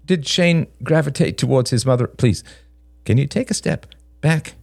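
A man voicing a nervous but defiant younger man in an audiobook drama, stammering and halting as he protests. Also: mid-range voice, creaky, darkish tone, dry recording, clean studio sound, voice pushed up. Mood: nervous, probing.

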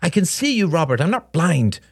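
A man's voice: on the high side, sing-song, normal speed, creaky, husky, pitched above the performer's own voice, heavily acted. A man voicing an irritated mother in an audiobook story, snapping and scolding with heavy sarcasm.

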